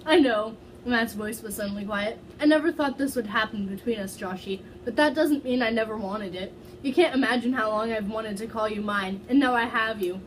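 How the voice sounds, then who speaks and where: A woman's voice: a little high, fairly quick, slight background noise, low-quality recording. A woman doing an amateur dramatic read-aloud, stumbling over words and slipping into giggly casual asides. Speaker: fan fiction reader.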